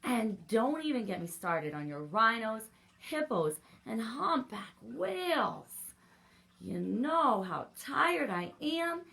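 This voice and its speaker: A woman voicing a weary, exasperated gray crayon in a children's storybook, with plaintive, drawn-out complaining. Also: on the high side, creaky, fairly bright tone, heavily acted. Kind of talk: storybook read-aloud for children.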